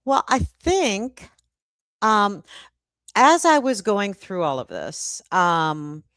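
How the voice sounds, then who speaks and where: A woman hosting a casual live-stream chat, speaking haltingly with pauses mid-phrase. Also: mid-range voice, big pitch swings, fairly bright tone, no echo. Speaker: host and publisher.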